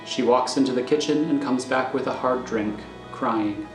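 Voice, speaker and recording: A man's voice: mid-range voice, moderate pace. A man narrating screenplay directions in a matter-of-fact, descriptive way. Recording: echoey, prominent music underneath.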